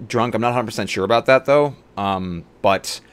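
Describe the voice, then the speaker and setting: A man streamer chatting casually on a livestream in a halting way, often restarting his sentences. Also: fairly low pitch, clear, resonant tone.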